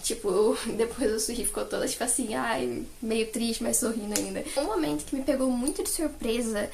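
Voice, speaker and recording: A young woman with a Brazilian Portuguese accent chatting casually as a fan, in a gushing, animated way. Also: fairly high pitch, normal speed, creaky, slight background noise. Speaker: fan vlogger. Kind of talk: casual chat recounting favourite moments.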